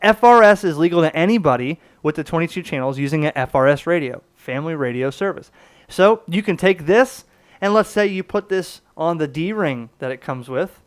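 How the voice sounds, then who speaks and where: A man's voice: a little high, lively intonation, moderate pace, clear, resonant tone. A man presenting a casual gadget demonstration, explaining things conversationally and often checking in with the listener. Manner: conversational, explanatory, poses questions to himself and checks in with "okay?". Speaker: product reviewer and presenter.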